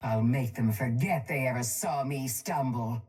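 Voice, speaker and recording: An actress playing a cold, posh, ruthless crime-boss tycoon in a video game, speaking in a haughty, deliberate, menacing way. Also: female voice, rumbling low, darkish tone, faint music behind. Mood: dry, imperious. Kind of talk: video game character voice lines.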